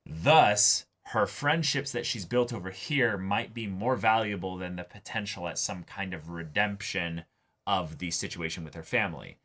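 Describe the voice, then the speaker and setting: A man hosting a reaction show, giving casual, opinionated commentary in a halting way, repeating words and slipping into quoted asides. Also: deep voice, fairly bright tone. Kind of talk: casual opinionated discussion of an anime episode.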